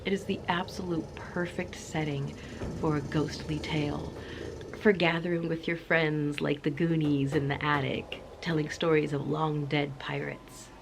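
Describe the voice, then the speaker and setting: A woman's voice: low-pitched, creaky, background noise. A woman host chatting playfully to camera with cozy enthusiasm, her voice a little off because she is coming down sick, sometimes dropping into a spooky whisper. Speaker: channel host. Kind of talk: cozy casual chat to camera.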